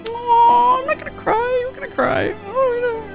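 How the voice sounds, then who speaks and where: A woman streaming a video game and chatting casually, playful, expressive and gushing, with game music behind her. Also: extremely high pitch, moderate pace.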